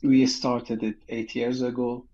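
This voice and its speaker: A man from Iran with a Persian accent, answering interview questions haltingly with many fillers and shy laughs, heard over a video call from home. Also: medium pitch, flat delivery, darkish tone, lo-fi sound. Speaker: guest animator.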